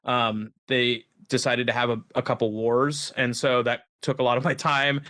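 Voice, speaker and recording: A man's voice: mid-range voice, little pitch movement, moderate pace, a bit bright. A man in his thirties, a musician guest, telling rambling, self-deprecating stories in a casual conversation.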